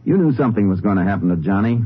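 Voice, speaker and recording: Low-voiced stern Texas Ranger. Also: male voice, expressive, rapid-fire, husky. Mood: stern, pressing. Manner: clipped, probing, authoritative. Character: stern, shrewd Texas Ranger investigator, adult man. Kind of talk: 1950s radio drama acting. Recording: muffled, faintly noisy old radio recording.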